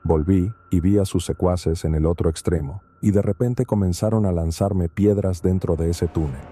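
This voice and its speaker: A man narrates a first-person horror story in a calm, steady reading. His voice sits low. The tone is very dark and mellow. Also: moderate pace, slight background noise.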